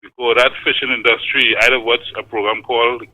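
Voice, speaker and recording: A man's voice: medium pitch, flat delivery, a little fast. An older man from Tobago with a Trinidad and Tobago accent, calling in to a morning show and talking earnestly, repeating his points. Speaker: caller. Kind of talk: phone-in call. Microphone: phone. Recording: thin phone line.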